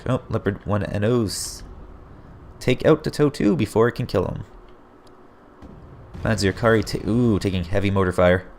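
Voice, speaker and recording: A low, gravelly man with a hoarse edge calls a game replay play by play. He keeps jumping in with surprised exclamations. A faint hiss sits under his voice. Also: normal speed, pauses often. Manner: excitable, frequent surprised exclamations. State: hoarse, voice getting raspy. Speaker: game caster.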